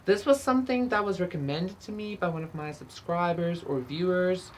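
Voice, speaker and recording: A woman's voice: fairly low pitch, normal speed, slight background noise. Chatty woman reading tarot. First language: Afrikaans. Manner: chatty, lots of "like" and "okay?" tags. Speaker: tarot reader. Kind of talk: casual pick-a-card tarot reading.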